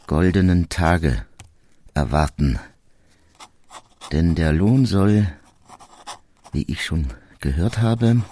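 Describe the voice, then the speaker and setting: A man reading as a slow, deep-voiced young engineer. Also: warm tone, slight background noise, heavily acted. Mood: reflective. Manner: slow, measured, reflective. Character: young male engineer reading his own letters. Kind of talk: audiobook reading of quoted letters.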